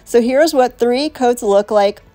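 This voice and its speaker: A woman's voice: on the high side, a little slow, mellow, dry recording. Middle-aged woman host, stuffy from a cold, chatty and self-mocking, dry close voice-over over faint music. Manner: chatty, self-deprecating, talks to viewers as y'all. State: congested with a cold. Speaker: nail tutorial host. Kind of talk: casual voice-over tutorial. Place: home, voice-over.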